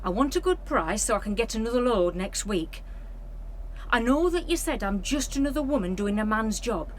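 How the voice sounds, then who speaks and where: A woman's voice: mid-range voice, a little hiss or hum, lightly acted, low-quality recording. A woman playing a proud, hard-working 1940s lorry driver reading a letter to her father in a radio play.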